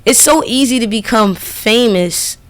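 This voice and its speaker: A woman's voice: a little high, a little slow, a bit bright. A woman radio host in casual conversation, speaking in an earnest, emphatic, colloquial way. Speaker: radio DJ and interviewer.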